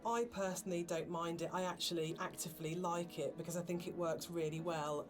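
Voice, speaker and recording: A woman's voice: lowish voice, steady tone, a little fast. A woman speaking as a game developer in conversational commentary. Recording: distant voice under guitar music. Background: guitar music.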